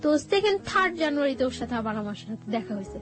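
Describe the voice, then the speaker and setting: High-pitched Bangladeshi woman telling her story. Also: sing-song, fast. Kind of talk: personal storytelling in conversational talk. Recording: music bed behind the voice.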